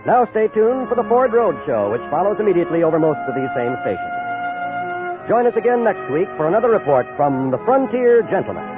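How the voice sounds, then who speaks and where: A man's voice: high voice, big pitch swings, brisk. A man with an American accent reading scripted credits and announcements as a 1950s radio network announcer. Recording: muffled old radio broadcast, music behind.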